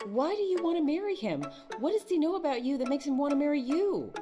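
A woman's voice: sits high, lively intonation, quick pace. A woman acting a blunt, scornful young friend in an audio drama, sarcastic and confrontational. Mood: scornful, insistent. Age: young adult. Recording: music behind the voice.

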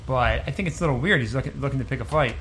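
A man's voice: medium pitch, racing, a bit bright, slight background noise. A man co-hosting a casual podcast, making dry, skeptical remarks. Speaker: podcast co-host. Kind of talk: casual banter.

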